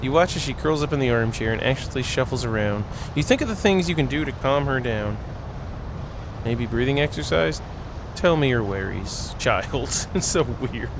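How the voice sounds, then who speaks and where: A man reading a visual novel aloud as a gameplay host, playful and expressive in his reactions. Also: mid-range voice, normal speed, noisy background. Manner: expressive, playful, exclaims and laughs.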